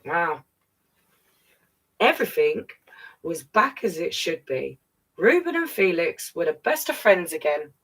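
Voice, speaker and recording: A woman's voice: fairly low pitch, big pitch swings, unhurried, long pauses, fairly bright tone, breathy edge, poor audio. A woman reading a children's picture book aloud expressively, with chatty asides straight to young listeners. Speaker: children's storyteller.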